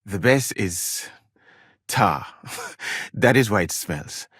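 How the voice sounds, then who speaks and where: An actor playing a courteous, learned physician from Mali in a medieval video game, speaking in formal, measured phrases with gentle gallantry. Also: male voice, fairly low pitch, expressive, breathy edge, no room sound, clean studio sound, full character voice. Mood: musing, instructive.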